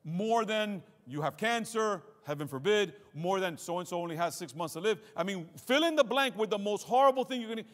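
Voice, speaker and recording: A man's voice: sits high, expressive, a little fast, few pauses. A man preaching a sermon as a pastor, emphatic and dramatic, leaning on repeated phrases and rhetorical questions. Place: large hall with congregation and screen, streamed to viewers at home. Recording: long hall reverb.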